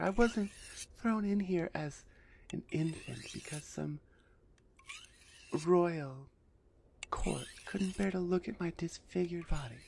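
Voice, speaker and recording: Woman voicing a creaky, low-voiced minotaur executioner. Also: darkish tone, a little hiss or hum. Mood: proud, defensive. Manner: deliberate, dismissive, taunting. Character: big tsundere minotaur woman executioner, stern, proud, haughty. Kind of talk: roleplay audio drama, ASMR.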